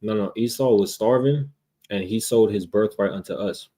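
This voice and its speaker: An American man debating casually in street slang, confrontational, often repeating words and clearing his throat. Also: lowish voice, normal speed, dark tone. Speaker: debater on a religious livestream.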